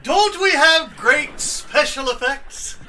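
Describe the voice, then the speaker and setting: A man playing a blustering, shifty, boozy ship's captain in a fantasy audio drama, halting and falsely reassuring. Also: very high-pitched, expressive, sharp tone, far from the mic, slight background noise, full character voice.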